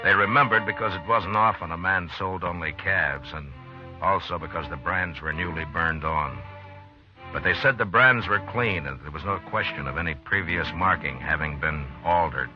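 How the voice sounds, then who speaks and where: An American actor playing a steady, weary frontier lawman in a radio western, measured and plain-spoken. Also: sits low, natural voice. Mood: wry, steady. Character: steady, weary frontier lawman, adult man, fair-minded. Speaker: actor and first-person narrator. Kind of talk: 1950s radio western acting. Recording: dull old radio recording, music behind.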